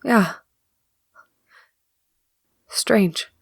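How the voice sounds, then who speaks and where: A woman acting in an audio drama as a wry, weary prisoner, sardonic and often hushed. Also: medium pitch, drawn-out words, creaky, husky, dry recording, clean studio sound, heavily acted. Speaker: voice actor in a fiction podcast.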